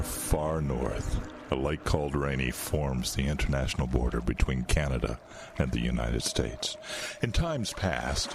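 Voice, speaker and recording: An actor playing an ominous ghost-story narrator in a radio drama, slow and deliberate, over stormy water effects. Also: male voice, very deep voice, noisy background.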